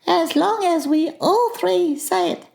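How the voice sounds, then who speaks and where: A man's voice: extremely high pitch, takes it slowly, creaky, voice pushed up, exaggerated voice. A man voicing a very small, timid piglet, hesitant and worried, in a dramatized storybook. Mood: anxious, hesitant.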